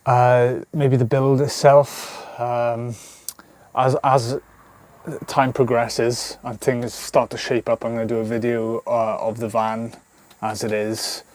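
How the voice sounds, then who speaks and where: A man's voice: mid-range voice, flat delivery, slow, a little mellow. A Welsh man in his thirties from South Wales, talking casually to camera inside his camper van, rambling with many ums and restarts and swearing freely. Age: 30s. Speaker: vlogger. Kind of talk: casual unscripted talk to camera.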